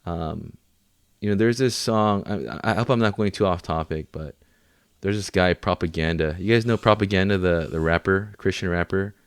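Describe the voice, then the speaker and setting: A man's voice: sits low, moderate pace, clean tone, no echo, studio quality. A man co-hosting a podcast, talking casually in informal, bro-ish slang. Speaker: podcast co-host.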